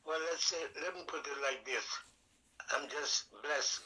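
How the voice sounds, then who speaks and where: An elderly man with a Trinidad and Tobago accent and a strikingly bright, high voice. He tells stories in a rambling, folksy way full of dialect grammar and blessings, sharp and ringing in tone. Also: measured pace, low-quality recording. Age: older. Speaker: guest, veteran and calypso singer. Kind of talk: casual interview storytelling.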